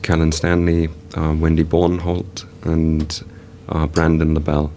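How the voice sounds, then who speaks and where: A young man from England whose speech carries a slight German accent. His voice sits extremely low and stays flat, and he talks haltingly with many fillers. A faint noise runs underneath. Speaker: guest artist. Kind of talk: casual interview talk.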